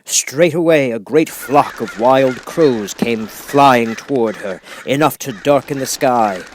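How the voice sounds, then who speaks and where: A man reading a children's audiobook as narrator, with expressive, dramatic storytelling. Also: medium pitch, slow, slight background noise, rough recording.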